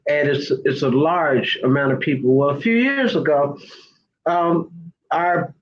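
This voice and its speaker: A woman's voice: sits low, a little slow. Older female guest from Arkansas, conversational storyteller, slightly muffled call audio with light background noise. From Little Rock, Arkansas, United States. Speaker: guest, education advocate. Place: video call livestream.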